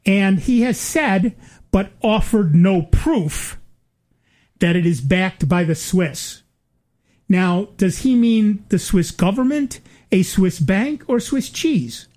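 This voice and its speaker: An older man co-hosting a relaxed conversation. He wanders through his points with plenty of ums and uhs and restarts. He drops in dry, wry asides along the way. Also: high voice, slow pace. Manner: rambling, many fillers and restarts, wry asides. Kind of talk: casual chat.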